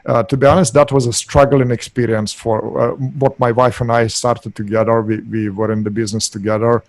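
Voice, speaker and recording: Middle-aged Russian-accented man, podcast guest, hesitant delivery, mid pitch, dry close mic. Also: little pitch movement, no echo. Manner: halting, many uh fillers. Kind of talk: podcast interview.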